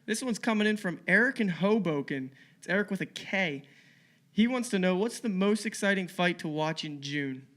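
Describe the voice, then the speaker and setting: Male podcast co-host, notably high pitch, relaying questions from listeners. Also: lively intonation, normal speed, fairly bright tone.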